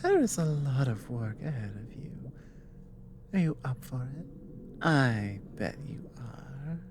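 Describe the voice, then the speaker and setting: Slow, low-voiced dragon woman acted by a woman. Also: big pitch swings, background noise, full character voice. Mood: tender, flirtatious. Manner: soft, hesitant, deliberate, with long pauses. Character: earnest dragon woman, careful war advisor and tender lover. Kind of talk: intimate ASMR audio roleplay.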